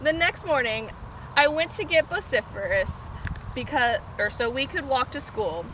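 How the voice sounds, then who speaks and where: A woman reading a children's picture book aloud in an expressive storytime style. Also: high voice, a little slow. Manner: expressive, animated. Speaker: storytime reader. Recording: noisy outdoor camera recording.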